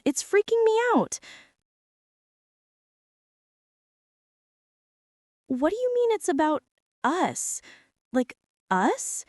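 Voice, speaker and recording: A woman playing a shy, self-doubting teenage art girl in a girlfriend ASMR roleplay, speaking in a nervous, halting, rambling way. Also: high-pitched, a little mellow, no room sound, studio quality. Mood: anxious, panicky.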